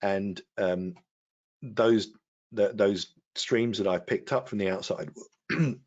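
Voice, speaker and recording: Guy with a lowish voice walking thru software, kinda halting, slightly muffled stream audio. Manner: halting, explanatory. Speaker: guest product expert giving a demonstration. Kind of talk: technical software walkthrough. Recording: dull, lo-fi web stream audio.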